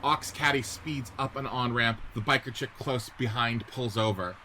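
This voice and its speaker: A man's voice: mid-range voice, moderate pace, bright, forceful tone, slight background noise. A man narrating a radio-play script, reading out stage directions and setting each scene descriptively.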